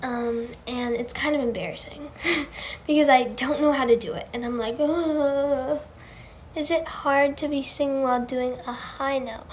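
A woman with a high, girlish voice chatting casually on a livestream, answering fan questions in a gushing, earnest way and often repeating herself. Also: unhurried, slight background noise.

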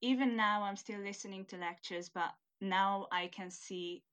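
A woman's voice: mid-range voice, fairly even intonation, unhurried, a bit bright, clear, resonant tone. A young woman from Hungary, a native Hungarian speaker, chatting casually in English as an interviewee, laughing often and searching for words. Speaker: interviewee, student. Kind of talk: casual conversation.

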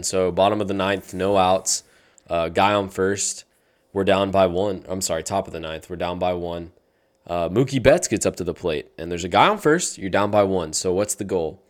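Young guy with a really low voice, hyped up, running a baseball podcast. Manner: enthusiastic, hypes up segments. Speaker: podcast co-host. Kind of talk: casual sports podcast chat.

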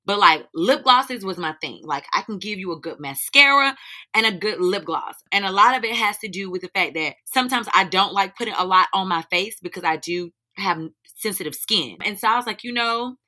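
A young woman with a North Carolina accent, slightly hoarse and raspy, answering interview questions in a candid, informal way. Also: medium pitch, animated, brisk, bright, forceful tone. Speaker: guest, teacher and business owner.

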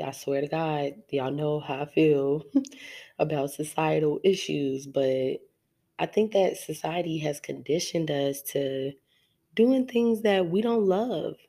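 A woman's voice: low voice, warm tone. A woman hosting a casual solo podcast, nasal and congested from a cold, chatty and laughing often as she talks straight to her listeners. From Arizona. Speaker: podcast host.

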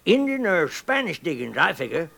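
An actor playing a toothless, stubbornly hopeful old prospector in a 1950s radio western, gravelly and rambling in a folksy American frontier drawl. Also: male voice, a little high, sing-song, moderate pace, bright, forceful tone, exaggerated voice. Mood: boastful, insistent. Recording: noisy 1950s radio recording.

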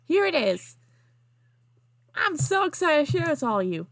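A woman's voice: high-pitched, big pitch swings, moderate pace, vocal fry, fairly bright tone, no echo, clean studio sound, voice pushed up, exaggerated voice. A woman voicing a gushing, wholesome mother and author with a Southern US accent, reading her note in a sugary, earnest way. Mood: gushing, excited.

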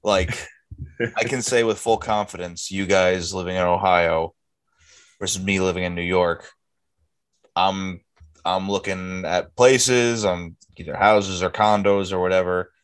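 A man's voice: low-pitched, steady tone, measured pace, smooth. A young man co-hosting a casual podcast chat, talking bluntly and grumbling, with frequent swearing. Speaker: podcast co-host.

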